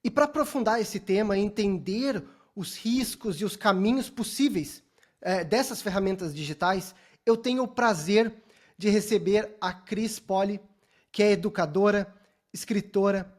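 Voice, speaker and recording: A Brazilian man speaks as the host of an interview podcast. He introduces topics and puts questions to his guest in polite, somewhat formal language. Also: high-pitched. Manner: courteous, formal phrasing.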